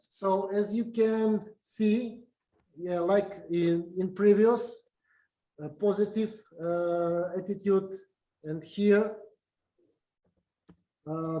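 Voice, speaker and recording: A man whose first language is Russian gives a halting academic lecture in English, with many fillers and restarts. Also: high voice, a little mellow, roomy sound, low-quality recording. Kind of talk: academic talk with slides.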